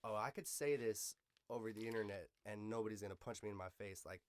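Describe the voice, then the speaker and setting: An American man chatting casually as a podcast host in street slang, with swearing and a loose, halting delivery. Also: on the low side, a crowd in the background.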